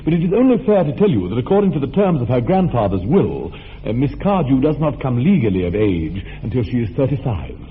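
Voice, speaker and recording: A man playing a stiff, proper young gentleman. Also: mid-range voice, big pitch swings, a little fast, natural voice. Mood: polite firm. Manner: formal, precise, mock-grave speech. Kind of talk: radio play acting. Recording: thin narrow-band radio recording with faint hiss.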